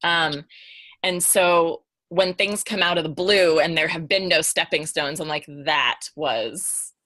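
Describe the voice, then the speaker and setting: A woman chatting casually on a podcast panel, thinking aloud haltingly with an amused manner. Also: low-pitched, fairly bright tone, no echo.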